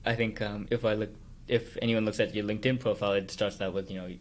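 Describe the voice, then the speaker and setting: A man's voice: fairly low pitch, flat delivery, fast talker. A man from South Korea who moved to Hong Kong and Canada as a child, hosting a casual conversational interview. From South Korea, Hong Kong, then Canada from childhood. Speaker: podcast host, interviewer.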